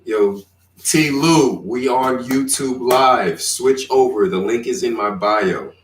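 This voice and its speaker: A man hosting a casual livestream chat, talking in a relaxed, rambling way with many fillers. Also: mid-range voice, slow pace, recorded from a distance. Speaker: podcast host. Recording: roomy, from off the mic.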